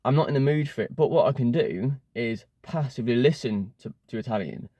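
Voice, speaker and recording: A man's voice: medium pitch, animated, brisk, warm tone, dry recording. A young man with an English accent, talking casually into his phone from inside his car and often stopping to restart his sentences. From England. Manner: rambling, with many fillers and restarts. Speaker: language learning vlogger. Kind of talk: casual talk to camera.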